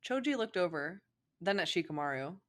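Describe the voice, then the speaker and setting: A woman's voice: fairly low pitch, lively intonation, fairly quick. A young woman reading fan fiction aloud as informal narration, with a playful, giggly manner. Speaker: co-host, fan fiction reader. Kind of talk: informal story narration with banter.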